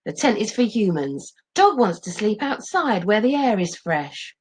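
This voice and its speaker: A woman's voice: mid-range voice, sing-song, unhurried, husky, pitched above the performer's own voice. Woman doing a chill loving caveman dad in a kids book, cheery, reassuring, bouncy intonation. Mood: firm, kind. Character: easygoing, affectionate Stone Age father.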